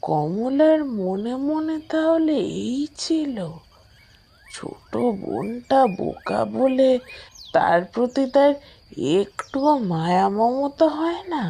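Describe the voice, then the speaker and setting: A woman voicing a caring, shrewd old village grandmother in a cartoon, speaking in a measured, inquisitive way. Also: sits high, big pitch swings, mellow, exaggerated voice. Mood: resolved. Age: older. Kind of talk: Bengali moral-tale cartoon voice acting.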